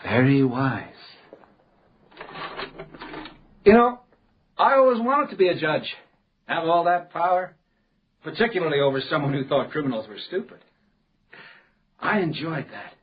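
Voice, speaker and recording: A husky, cold, cunning criminal. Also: male voice, on the high side, big pitch swings, muffled recording. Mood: gloating. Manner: measured, menacing, condescending. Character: cold, cunning male criminal posing as a judge. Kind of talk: radio crime drama acting.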